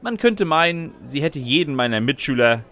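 A man's voice: on the high side, expressive, normal speed, fairly bright tone. A man playing a sarcastic student who narrates his own chaotic life in scripted comic first person. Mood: wry narrating. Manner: wry, sarcastic. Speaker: narrator and lead character.

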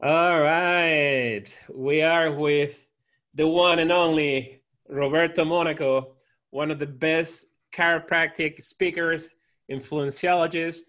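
A man's voice: a little high, steady tone, slow, a bit bright. A man in his thirties with a Spanish-speaking accent, hosting an interview over a headset and often repeating words as he thinks. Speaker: host. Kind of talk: casual interview chat. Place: office with banner. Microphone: headset on video call.

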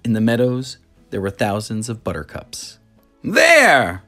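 A narrator reading a children's story aloud in an expressive, dramatic way. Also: mid-range voice, moderate pace, faint music behind.

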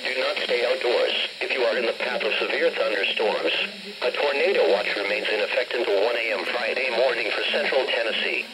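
A synthetic man's voice reading an automated emergency weather bulletin in a measured, formal manner, played through a weather radio speaker. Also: mid-range voice, a loud crowd, rough recording. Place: carpeted room in a home. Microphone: camera held near the radio. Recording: radio speaker picked up by handheld camera.

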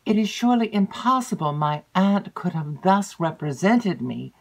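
A woman reading an audiobook and voicing a grave, composed young lady in a measured, restrained way. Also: mid-range voice, lively intonation, voice pushed up.

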